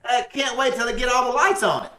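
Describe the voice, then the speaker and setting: A man's voice: very high-pitched, expressive, quick pace, pushed and bright, heavily acted. A man playing a bumbling, sheepish, folksy husband in a 1940s radio comedy style, hesitant as he talks. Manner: hesitant, folksy, calls child sis. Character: bumbling, sheepish, blustery grown husband humoring a child. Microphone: video call. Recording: distant, roomy video call audio.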